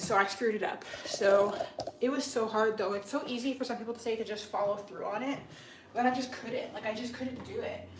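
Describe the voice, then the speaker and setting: A young woman chatting on a livestream with her viewers, sounding stuffed up and rambling with many restarts and fillers. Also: medium pitch. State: stuffed-up nose. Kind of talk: casual livestream chat with viewers. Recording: echoey room with clear background noise.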